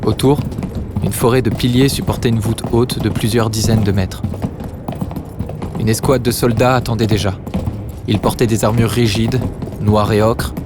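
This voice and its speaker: A man narrating a dramatic science-fiction story in a solemn, deliberate manner with short phrases. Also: lowish voice, noisy background. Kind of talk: dramatic scripted sci-fi narration.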